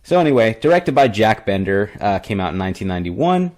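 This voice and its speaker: Low-voiced rambling young man. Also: big pitch swings, fast talker, darkish tone. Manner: rambling, filler-heavy retelling. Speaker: podcast co-host. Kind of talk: casual movie review chat.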